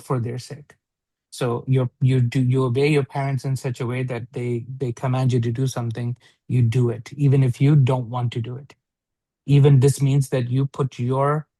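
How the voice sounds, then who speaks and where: A man's voice: medium pitch, warm tone, no echo. Guy tutoring someone in a religious text, explains then rephrases, keeps asking little check questions, dry close sound. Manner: instructive, repeats and rephrases, checks with short questions. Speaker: teacher. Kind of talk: one-on-one Arabic read-along religious lesson.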